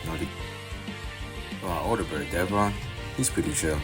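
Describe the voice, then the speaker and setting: A man voicing an earnest, guarded young male devil hunter from another universe, delivering animated-story dialogue. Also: low-pitched, fairly quick, loud music behind the voice, close to an everyday voice. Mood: somber, resolute. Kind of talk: animated-series character dialogue, recorded in repeated takes.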